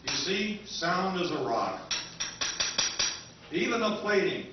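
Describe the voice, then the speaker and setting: A man's voice: high-pitched, big pitch swings, slow pace, very noisy, exaggerated voice. A man playing a pushy, persuasive interstellar junk dealer in an old-time radio drama, with emphatic salesman patter. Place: convention stage. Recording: very echoey, dull, distant stage recording.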